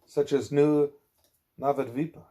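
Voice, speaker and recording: A man with a measured, plain-spoken way of talking, reading aloud or speaking as a parent at home. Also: fairly high pitch, fairly even intonation, a crowd in the background. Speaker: reader, parent putting a child to bed. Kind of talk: reading a religious text aloud, with quiet parental chat.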